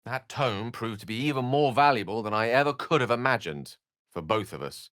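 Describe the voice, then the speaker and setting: An actor with a British accent voicing a learned, proud, romantic wizard in a video game, with eloquent, slightly pompous phrasing. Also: male voice, medium pitch, animated, brisk, a bit bright, no room sound, studio quality, natural voice. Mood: measured, dry. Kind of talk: video game character voice lines.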